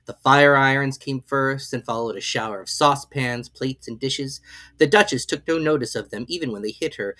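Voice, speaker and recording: A woman's voice: rumbling low, fairly bright tone. A woman narrating a storybook expressively as an audiobook reader.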